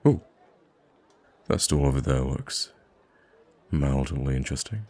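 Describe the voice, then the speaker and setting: A man playing a soft-spoken, wistful and gracious young prince in an ASMR roleplay, speaking gently and haltingly. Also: lively intonation, heavily acted. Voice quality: very deep, gravelly, husky. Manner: hesitant, gentle, halting with sighs and stammers.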